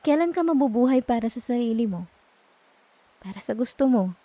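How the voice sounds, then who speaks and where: A woman with a Filipino accent playing a gentle, reassuring girlfriend in an intimate ASMR roleplay, speaking softly and tenderly close to the microphone. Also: fairly high pitch, lively intonation, a little fast, no room sound. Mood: tender coaxing. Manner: soft, tender, coaxing, with murmured hums. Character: gentle, reassuring young girlfriend comforting her partner at night. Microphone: close microphone, dry.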